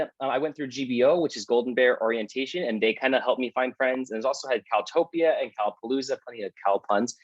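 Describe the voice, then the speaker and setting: A man's voice: medium pitch, dry recording. A young man from Long Beach, California, speaking on a video call as a student panelist, chatty and rambling with fillers. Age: young adult. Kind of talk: casual Q and A. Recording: dry, dull lo-fi call audio.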